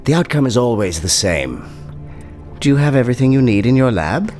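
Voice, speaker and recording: An actor in an audio drama playing a powerful, menacing doctor, speaking in a measured, condescending and coolly controlling way. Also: male voice, medium pitch, big pitch swings, darkish tone, faint music behind, natural voice. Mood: calm, calculating. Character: smooth, menacing, powerful male doctor interrogating and pronouncing.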